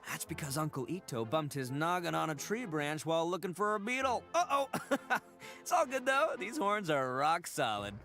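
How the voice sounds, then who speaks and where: Game role: boastful young male demon gang boss, very lively colloquial swagger, light music behind. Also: a little high, normal speed, full character voice. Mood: sheepish bluffing.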